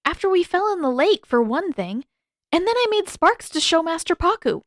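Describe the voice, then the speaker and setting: A woman voicing an impatient, playful teenage girl with an expressive, informal delivery, as in an audiobook drama. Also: very high-pitched, normal speed, no room sound, studio quality, pitched above the performer's own voice. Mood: frustrated, whiny. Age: teenage character voiced by adult.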